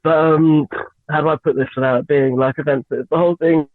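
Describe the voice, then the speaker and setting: A young woman from Lagos and London, a singer, chatting as an interview guest with frequent giggles and lots of halting fillers. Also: deep voice, steady tone, no room sound. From Nigeria and England. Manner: giggly, hesitant with many fillers. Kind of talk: radio interview, casual chat. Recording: tinny phone-line sound, dry.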